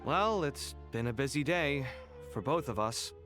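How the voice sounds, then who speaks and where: An actor playing a young male scholar in a game cutscene, expressive and quick to protest. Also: medium pitch, music in the background, natural voice. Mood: weary, friendly. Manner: animated, exasperated, quick to protest.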